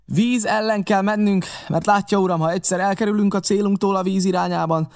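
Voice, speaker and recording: A man's voice: sits high, steady tone, a little mellow, voice pushed up. A man voicing a courteous, resolute male sea captain in an audiobook, giving clipped, urgent orders. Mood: urgent, firm.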